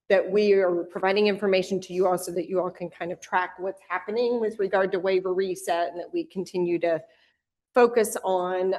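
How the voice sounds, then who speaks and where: A woman official from an American state agency, presenting program updates at an advisory council meeting in a measured way with frequent pauses. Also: fairly low pitch, a little mellow.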